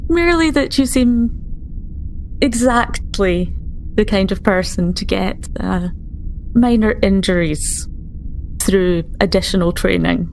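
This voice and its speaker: A woman playing a composed, dryly amused starship doctor in a tabletop game, speaking in a measured, reserved way. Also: mid-range voice, gravelly, a little mellow, a little hiss or hum, low-quality recording.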